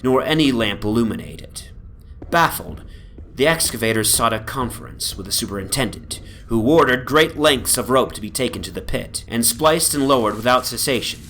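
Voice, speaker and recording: A man giving a formal, measured, theatrical dramatic reading of a gothic horror story as its narrator. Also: on the low side, fairly bright tone. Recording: background noise from an ambient soundscape. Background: atmospheric soundscape.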